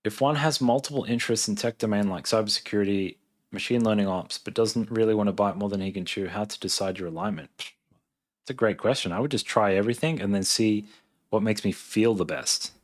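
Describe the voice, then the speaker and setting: A man's voice: fairly low pitch, fairly quick. A man in his thirties with an Australian accent, chatting casually on a livestream, thinking aloud as he reads and answers questions. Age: 30s. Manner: laid-back, thinking aloud. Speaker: live streamer, software engineer.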